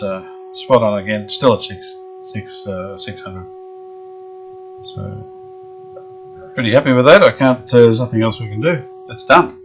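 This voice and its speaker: Man, low voice, dull lo-fi audio, relaxed workshop narration with fillers. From Australia. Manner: relaxed, thinking aloud with frequent fillers. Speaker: radio restorer.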